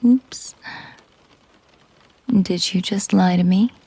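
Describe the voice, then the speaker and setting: A woman playing a possessive, coolly menacing mafia boss girlfriend in an intimate audio roleplay, teasing and commanding in a breathy, husky murmur. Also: lowish voice, slow, dark tone, dry recording, heavily acted.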